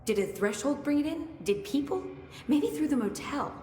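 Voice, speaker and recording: An actress playing a brisk, curious research scientist with dry wit in a video game, thinking aloud analytically. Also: female voice, high-pitched, animated, warm tone. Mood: curious, musing. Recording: echoey hall with faint noise.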